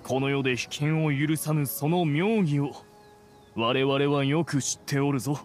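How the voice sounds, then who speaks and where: A man's voice: on the high side, fairly even intonation, unhurried, faint music behind, close to an everyday voice. A man voicing a dignified ancient immortal in a game, solemn and declamatory, with archaic phrasing. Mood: solemn, admiring. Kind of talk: dramatic game voice acting.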